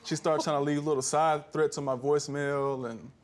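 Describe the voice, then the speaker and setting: An American man defending himself informally as a defendant in court, halting and repeating himself. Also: fairly high pitch, little pitch movement, crowd noise. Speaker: defendant in a TV small claims court. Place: TV courtroom studio.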